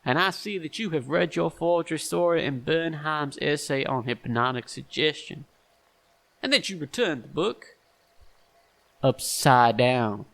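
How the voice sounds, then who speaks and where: An actor playing a stern, shrewd, self-righteous man in an audio stage drama, speaking in a deliberate, accusatory and emphatic way. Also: a little high, expressive, gravelly, fairly bright tone, full character voice. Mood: accusing.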